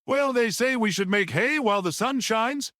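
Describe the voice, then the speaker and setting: A man voicing an enigmatic storybook gentleman who needles politely in an arch, probing way. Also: extremely high pitch, normal speed, dry recording, clean studio sound, voice pushed up. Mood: needling.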